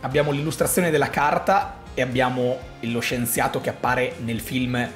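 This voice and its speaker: A man's voice: mid-range voice, fairly even intonation, moderate pace. A man, explaining a theory to camera in an emphatic, suspenseful way, repeating phrases for effect. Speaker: YouTube host. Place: small home room, indoors. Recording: roomy echo. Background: background music.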